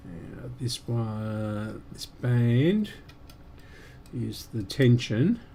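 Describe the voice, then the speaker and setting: A man with a mellow, mid-pitched voice hosts a hands-on repair stream. He speaks slowly and haltingly, half muttering to himself as he works. There is faint noise in the background. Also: animated. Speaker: host of an electronics repair stream. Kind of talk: unscripted workbench commentary while working.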